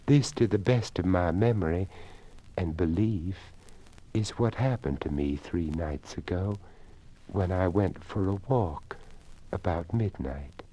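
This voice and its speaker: A man voicing an earnest, elderly deaf lady in a story, with a hushed, measured, genteel delivery. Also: low-pitched, lo-fi sound. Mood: hushed, sober.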